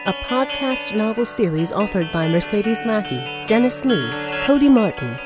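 A woman's voice: mid-range voice, sing-song, normal speed. A woman reading a scripted introduction as the announcer of a podcast novel. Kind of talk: scripted introduction over music. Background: clear music.

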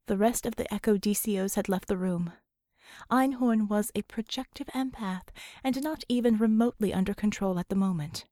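A woman's voice: medium pitch, moderate pace, warm tone, no echo, studio quality. A woman narrating scripted fiction as an audiobook reader.

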